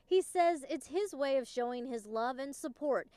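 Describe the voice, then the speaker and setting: A woman's voice: high voice, expressive, normal speed, mellow, no echo. A woman working as a field reporter, delivering broadcast news reports in a scripted, on-location style. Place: outdoors at a memorial for live reports.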